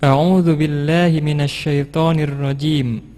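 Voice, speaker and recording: Higher-voiced man in measured, even recitation. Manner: measured, chanting. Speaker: Quran reciter. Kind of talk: melodic Arabic Quran recitation.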